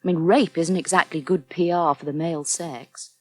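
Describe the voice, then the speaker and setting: An actress playing a frank, reassuring married counsellor in a naturalistic radio soap, talking conversationally. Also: female voice, lowish voice, steady tone, moderate pace, no room sound, close to an everyday voice.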